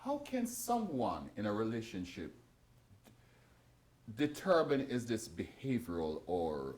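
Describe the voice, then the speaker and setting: A man's voice: on the low side, takes it slowly. A man hosting a talk show, putting questions to his guests and jumping in to push back. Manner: animated, jumps in with questions.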